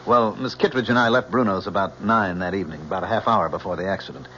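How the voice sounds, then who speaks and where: Low-voiced, polite witness. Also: male voice, a bit bright. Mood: composed, earnest. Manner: measured, respectful, explanatory. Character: polite, composed male driver testifying under oath. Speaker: actor in a radio drama. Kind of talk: old-time radio drama acting. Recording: muffled old radio recording, faint noise.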